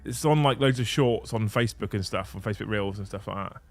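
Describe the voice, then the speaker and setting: A man's voice: lowish voice, fast, soft background music. A man, hosting a casual livestream, bantering, swearing and laughing. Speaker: livestream host.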